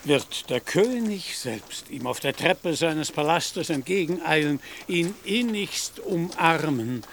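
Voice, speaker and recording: Radio drama knight-errant: high, gravelly voice, grandiose, fiery, self-deluded, declamatory. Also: male voice, lively intonation, takes it slowly, fairly bright tone, slight background noise, exaggerated voice. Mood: solemn, stately. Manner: grandiose, declamatory, archaic turns of phrase. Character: pompous, deluded knight-errant, an ageing gentleman, lofty and fiery. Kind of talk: German radio play acting.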